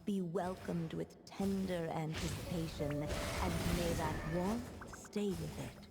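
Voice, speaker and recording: Motherly storybook witch narrator for a game: low-pitched, slow, solemn, long reverb, music behind. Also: fairly even intonation, vocal fry, very noisy. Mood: tender. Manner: measured, literary, solemn.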